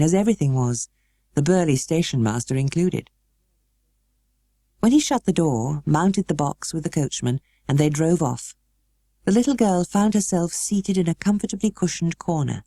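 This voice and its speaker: A British woman reading a storybook as narrator, in a measured and expressive way. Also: sits low, dry recording. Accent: British English. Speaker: audiobook narrator.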